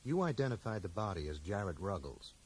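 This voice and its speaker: Dogged low-voiced amateur sleuth, animated. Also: male voice, brisk, slightly rough. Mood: probing, insistent. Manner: direct, probing, wry. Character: dogged, dry-witted bookseller questioning people like an amateur detective. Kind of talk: 1980s radio drama acting. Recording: noisy 1980s radio broadcast.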